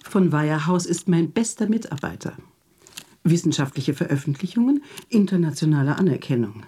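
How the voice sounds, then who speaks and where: A woman's voice: sits low, animated, normal speed, mellow, husky. A woman in her sixties playing a composed, factual research lab director in a radio drama. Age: 60s. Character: older female lab chief, composed and factual.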